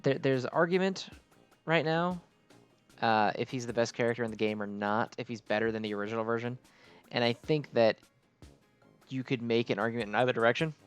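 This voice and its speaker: Male gaming host, mid-pitched, loose and rambling casual commentary, music playing behind. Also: fairly quick, clean tone. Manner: rambling, easily distracted delivery. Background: game music.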